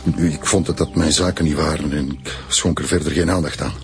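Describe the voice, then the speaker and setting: An actor with a Flemish accent playing an older, indignant and proud historian in a radio drama, speaking deliberately and bitterly. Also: male voice, very deep voice, fairly even intonation. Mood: guarded, wry. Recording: faint music behind the dialogue.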